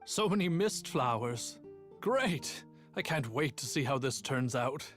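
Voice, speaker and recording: A man's voice: mid-range voice, lively intonation, unhurried, a bit bright, soft background music. A man voicing a nervous, eager master brewer in a video game, flustered and deferential. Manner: flustered, stammering, servile. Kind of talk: video game character acting.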